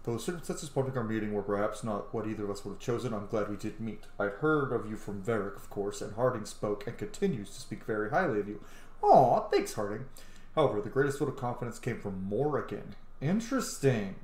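A man's voice: on the low side, lively intonation, a little fast. Man in an echoey room, chatting casually. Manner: informal, sweary, thinks out loud. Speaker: gaming video host. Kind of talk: casual game commentary mixed with reading letters aloud. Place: small room at home, indoors. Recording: roomy, echoey home recording.

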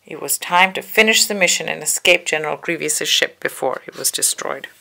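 Woman narrating a kids book aloud, low voice, gravelly fry, careful and dramatic. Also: fairly quick, pushed and bright. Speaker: storybook narrator. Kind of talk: children's book read-aloud, scripted narration.

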